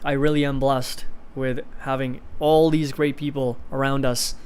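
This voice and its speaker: A man's voice: mid-range voice, little pitch movement, normal speed, darkish tone, smooth. A man in his twenties from India, hosting a livestream and casually chatting as he answers viewers' comments. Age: 20s. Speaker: livestream host of an investing channel.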